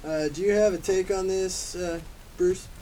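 Dim defensive male sloth played by a man, halting delivery, amateur radio comedy, far from mic, clear hiss. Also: sits high, steady tone, warm tone. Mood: unsure, dim. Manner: halting, stumbling over lines. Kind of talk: amateur scripted audio comedy acting.

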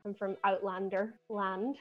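A young woman with a softened Glaswegian lilt talks in an earnest, chatty way, laughing easily as she goes. Her voice sits at an ordinary middle pitch. The sound is a little dull and lo-fi, with faint music underneath. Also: flat delivery, unhurried. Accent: softened Glaswegian Scottish. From Scotland. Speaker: podcast guest. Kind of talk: casual chat.

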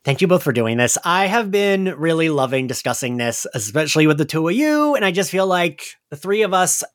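A man's voice: on the high side, very animated, fairly quick. A man hosting a casual pop-culture podcast chat in a giggly, enthusiastic manner. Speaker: podcast host.

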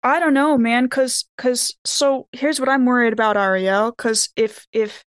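Woman as worried, outspoken investigator in a tabletop game; clean smooth voice, informal and halting, dry recording. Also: fairly high pitch, steady tone, dry recording, close to an everyday voice. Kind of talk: improvised in-character tabletop dialogue.

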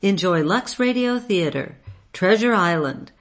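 A woman's voice: mid-range voice, lively intonation, measured pace. A woman hosting a radio program, reading a scripted introduction in a polished presenter's manner. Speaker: radio show host.

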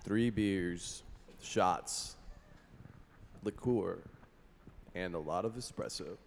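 A man playing the narrator of a comic radio play, improvising the story in pieces with pauses between phrases. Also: low-pitched, vocal fry, slight background noise, exaggerated voice. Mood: wry storytelling. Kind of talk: improvised mock-dramatic narration. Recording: echoey hall-like reverb.

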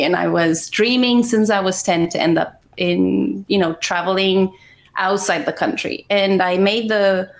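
Chatty Romanian-accented woman in her forties. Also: on the low side. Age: 40s. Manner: chatty storytelling with frequent laughs. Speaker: guest. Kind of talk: casual conversation.

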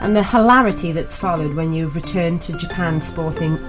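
A woman hosting a podcast with a very low voice asks measured, prepared questions. Clear music plays beneath her. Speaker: podcast host.

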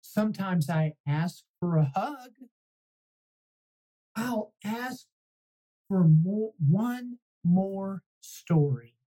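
A man voicing an indignant, dramatic pigeon in a storybook read-aloud, pleading and complaining in a theatrical, drawn-out way. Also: high-pitched, expressive, dark tone, full character voice, poor audio. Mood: pleading, boastful.

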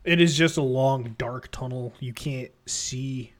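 A man's voice: medium pitch, slow. A man hosting and narrating a tabletop game as game master, upbeat and chatty.

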